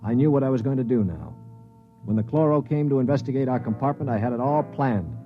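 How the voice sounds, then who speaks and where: An actor playing a cynical, weak-handed captive aboard a spaceship in a 1950s radio drama, with dramatic delivery. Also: male voice, mid-range voice, animated, fast, lightly acted. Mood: reflective. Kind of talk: 1950s radio drama acting with first-person narration. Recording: muffled 1950s radio recording with music.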